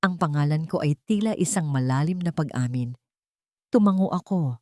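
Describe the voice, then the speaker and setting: A woman's voice: low voice, expressive, normal speed, dark tone, no room sound, clean studio sound. A woman reading scripted first-person narration in a dramatic storytelling style.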